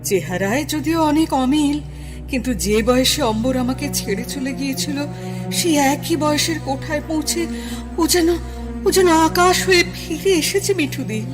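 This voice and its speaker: A grieving young widow in a radio play, her voice a touch high and full of inner conflict. She moves between aching memory and sharp, guarded refusal, with clear music under her speech. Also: a little slow. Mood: anguished. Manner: anguished, then sharp and indignant. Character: grieving young widow schoolteacher, torn and wary. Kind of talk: radio drama acting.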